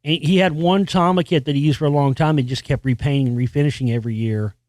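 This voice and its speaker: Rambling podcast host, dry close sound. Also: male voice, fairly even intonation, fast, darkish tone, dry recording. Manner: rambling, with restarts and casual swearing; experienced singer with a high singing voice. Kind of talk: casual solo monologue about music.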